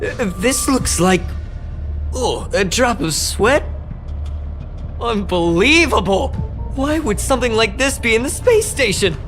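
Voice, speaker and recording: A nervous, self-doubting space station engineer for a video game. His pitch jumps around in an animated way, and he sounds earnest and hesitant. Music plays clearly behind his voice. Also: measured pace, long pauses, exaggerated voice. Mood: flustered. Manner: hesitant, stammering, earnest and excitable. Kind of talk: expressive video game dialogue acting. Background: background music.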